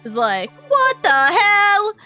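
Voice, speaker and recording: Giggly high-voiced woman streamer. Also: huge pitch swings, slow, pushed and bright, faint music behind. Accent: Californian. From moved to the US as a child. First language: not English. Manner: giggly, bursts into laughter often. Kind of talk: casual group chat while voicing game characters.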